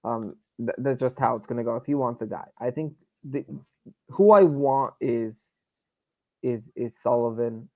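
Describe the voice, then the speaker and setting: A man's voice: mid-range voice, measured pace, dry recording, phone-quality sound. A man from New York arguing hockey opinions emphatically in a casual live stream, often repeating himself for emphasis. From New York, United States. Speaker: sports fan show panelist. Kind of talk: casual live sports debate.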